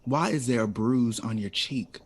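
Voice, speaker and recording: A man's voice: medium pitch, a little slow, husky. A man acting in an audio drama as an eager, devoted young jazz composer and loving son. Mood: angry, upset.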